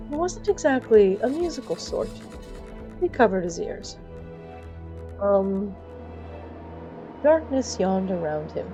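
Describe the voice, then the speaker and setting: A woman narrates a scripted fiction podcast over music. Her voice sits at a middle pitch, and her delivery is theatrical, wry and playful, as if confiding in the listener.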